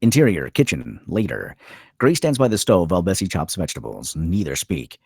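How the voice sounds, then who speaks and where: A man's voice: low-pitched, very animated, fairly quick, vocal fry, a little mellow, husky, no room sound, clean studio sound. A man reading stage directions as the narrator of a screenplay table read.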